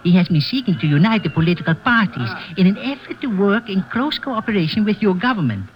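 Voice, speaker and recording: High-pitched, anxious bazaar informant. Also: male voice, sing-song, fast talker, muffled recording. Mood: guarded, earnest. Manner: formal, deferential, foreign phrasing. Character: anxious Middle Eastern bazaar merchant, secretive informant. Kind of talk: old-time radio drama acting. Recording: vintage radio broadcast, background noise.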